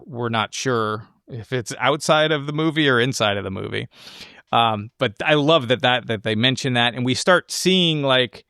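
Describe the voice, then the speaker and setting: A man's voice: mid-range voice, fairly bright tone, no room sound, studio quality. A man co-hosting a podcast, talking through a film in a casual, enthusiastic and rambling way. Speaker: podcast co-host discussing a film.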